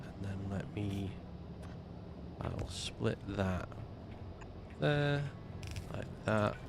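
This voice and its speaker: Slow gravelly man with a snuffly cold. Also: on the low side, noisy background. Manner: slow, muttering to himself while building. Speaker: game streamer. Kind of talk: casual gameplay commentary.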